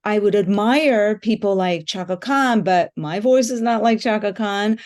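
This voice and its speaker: A woman's voice: medium pitch, unhurried, darkish tone. A woman musician answering interview questions in a frank, thoughtful way, with plenty of fillers. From Japan and the United States.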